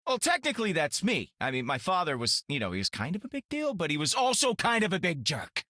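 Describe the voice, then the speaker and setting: An actor playing a laid-back, wisecracking rogue hero in a video game, flippant, casual and rambling. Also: male voice, on the high side, wildly swooping pitch, fairly quick, sharp tone, no room sound, clean studio sound, full character voice. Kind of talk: video game character dialogue.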